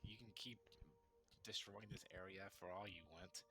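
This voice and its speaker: Male roleplaying player, deep and forceful, casual in-game chat. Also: steady tone, moderate pace.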